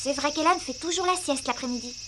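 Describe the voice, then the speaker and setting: Woman voicing a very high-pitched, eager cartoon child. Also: fast talker, very noisy, close to an everyday voice. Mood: impatient. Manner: chatty, exclamatory. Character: eager, playful, cajoling young child. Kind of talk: animated cartoon dub acting.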